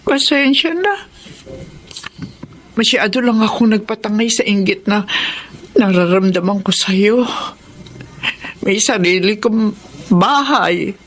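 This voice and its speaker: Slow, halting, remorseful mother. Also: medium pitch, lively intonation, slight background noise. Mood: apologetic. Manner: halting, plaintive. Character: remorseful, lonely mother, envious and downhearted. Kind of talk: Filipino radio drama acting.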